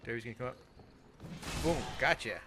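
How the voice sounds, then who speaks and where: A man of about forty chatting casually over gameplay, rambling and halting, with plenty of swearing. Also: mid-range voice, background noise. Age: around 40. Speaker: host of a gaming let's play podcast.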